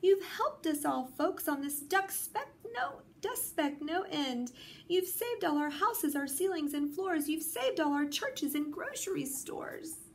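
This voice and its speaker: A woman's voice: high voice, expressive, a little slow, gravelly, a little hiss or hum, pitched above the performer's own voice, exaggerated voice. A woman voicing a tiny, grateful male mayor who speaks from a speck of dust, in a piping manner.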